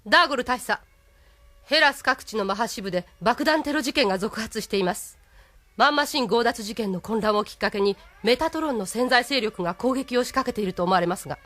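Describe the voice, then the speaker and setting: A woman's voice: a little high, expressive, a little fast, a bit bright. An actress playing a stern, devoted female military officer in an audio drama, with formal, proud delivery. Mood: formal, alert. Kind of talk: Japanese audio drama acting.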